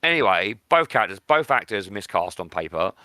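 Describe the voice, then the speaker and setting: A man's voice: low voice, very animated, fairly quick, bright, forceful tone, no room sound. A British man co-hosting a podcast, chatting casually about films in a blunt, opinionated, sweary way. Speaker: podcast co-host. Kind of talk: casual film discussion.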